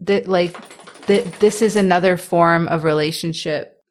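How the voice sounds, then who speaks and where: A woman's voice: on the low side, steady tone, measured pace. A young woman in her twenties who stutters, speaking candidly as an interviewee with restarts, repeated sounds and light laughs. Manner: soft-spoken, laughs between thoughts.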